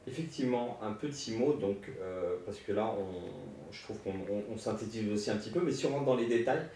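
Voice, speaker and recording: Distant, very echoey low-voiced man. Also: lively intonation, fairly quick, warm tone. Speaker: dental clinician interviewing his patient. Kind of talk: unscripted conversational interview. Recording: very echoey, distant mic, faint noise.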